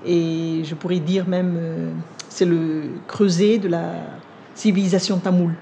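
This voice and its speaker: Older woman with Vietnamese as her first language, low mellow voice, unhurried and reflective, interview in a living room. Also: level tone, a little hiss or hum. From India. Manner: measured, thoughtful, with frequent pauses and hesitations. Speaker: cookbook author. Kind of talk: sit-down interview.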